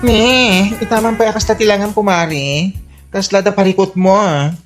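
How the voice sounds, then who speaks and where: A woman's voice: mid-range voice, fairly even intonation, moderate pace, over loud music, lightly acted. A woman playing a blunt, practical friend who scolds and nags in exclamations, in a comedy radio drama. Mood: scolding, teasing.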